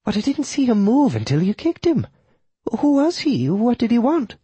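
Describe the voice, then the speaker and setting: A man voicing a frail elderly Irish widow, fearful yet sharp, with a tiny quavering voice and an Irish accent, in an audiobook. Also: extremely high pitch, wildly swooping pitch, normal speed, breathy edge, dry recording, pitched above the performer's own voice, heavily acted. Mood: doubtful, questioning. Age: older.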